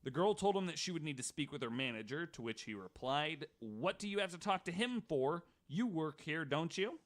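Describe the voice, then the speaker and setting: A man's voice: on the high side, expressive, moderate pace, a bit bright. A man hosting a podcast, reading prepared true-crime notes and dropping in casual asides. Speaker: podcast host.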